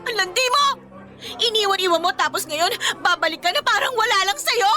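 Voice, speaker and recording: Shrill, fiery jealous Filipina girlfriend. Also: animated, brisk, sharp tone, faint music behind, exaggerated voice. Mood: furious, hurt. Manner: confrontational, emotional, taunting. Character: jealous, fiery young woman, betrayed girlfriend. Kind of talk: Filipino radio romance drama acting.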